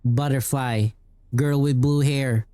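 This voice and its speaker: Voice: mid-range voice, steady tone, slow pace, mellow. A game streamer giving casual, offhand live commentary in an informal way, often repeating phrases.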